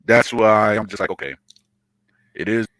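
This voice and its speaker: A man who streams and raps, talking casually and haltingly with many fillers and restarts. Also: fairly low pitch, fairly bright tone, no echo, studio quality. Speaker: streamer and rapper. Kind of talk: casual online conversation. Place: Santo Domingo.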